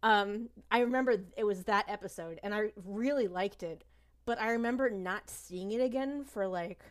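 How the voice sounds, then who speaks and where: A woman in her thirties chats casually as a podcast guest. She rambles a bit, makes fun of herself, and fills her pauses with ums, at a middling pitch. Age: 30s. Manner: rambling, self-deprecating, hesitant with many ums. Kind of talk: casual chat.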